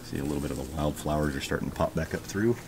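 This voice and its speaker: A man giving a casual walking tour of his backyard garden outdoors, chatty and relaxed as he points things out on a handheld camera. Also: rumbling low, rapid-fire, creaky, background noise. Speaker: homestead vlogger. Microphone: handheld camera held while walking.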